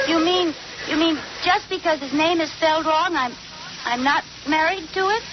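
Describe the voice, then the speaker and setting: Indignant American radio-sitcom wife, high voice, theatrical and flustered, on a noisy vintage recording. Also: female voice, normal speed, lo-fi sound. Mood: distraught. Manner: theatrical, exasperated. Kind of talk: 1940s radio comedy acting.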